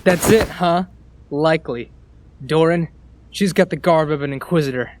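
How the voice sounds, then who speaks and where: A woman's voice: low-pitched, moderate pace, a little mellow, no echo, close to an everyday voice. A woman voicing a slight, defiant, suspicious teenage robber who blusters and threatens in a fantasy audio drama. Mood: suspicious, scornful.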